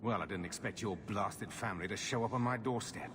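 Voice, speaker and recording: An actor playing a shifty, evasive middle-aged uncle in a video game cutscene, hesitant and stammering. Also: male voice, lowish voice, a little fast, noisy background, close to an everyday voice. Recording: game score behind the voice.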